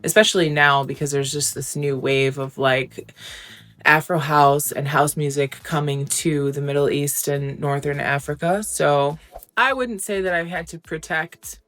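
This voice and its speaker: Low-voiced young woman, chatty and candid. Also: fairly even intonation, a little slow, fairly bright tone. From United States. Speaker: interview guest, recording artist. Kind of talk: casual interview answers.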